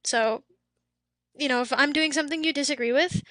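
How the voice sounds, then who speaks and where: High, bright young woman chatting on stream. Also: fairly quick, dry recording, clean studio sound. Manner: chatty, reads out chat, trails into soft whispery asides. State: losing her voice, throat a bit off. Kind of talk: casual chat with live stream viewers.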